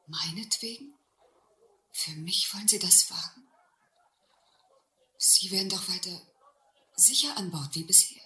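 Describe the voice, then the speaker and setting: An actress playing an anxious young woman in a sea adventure radio play, hesitant and hushed. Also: lowish voice, animated, measured pace, pauses often, exaggerated voice. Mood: anxious, moved. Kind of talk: German radio play acting. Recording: roomy, faintly noisy old radio play.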